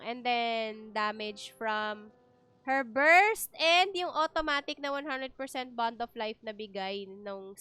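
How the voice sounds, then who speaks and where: A woman's voice: high-pitched, sharp tone, clean tone, no room sound. A woman with a Filipino accent giving casual, chatty livestream game commentary, explaining things as she goes. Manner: chatty, explanatory, switches between two languages. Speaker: game streamer.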